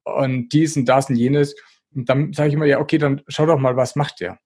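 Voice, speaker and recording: A man's voice: mid-range voice, steady tone, fairly quick, a little mellow. A man talking casually as a podcast guest, in a blunt, colloquial, motivational way. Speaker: podcast guest, diabetes expert. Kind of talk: casual conversational interview talk.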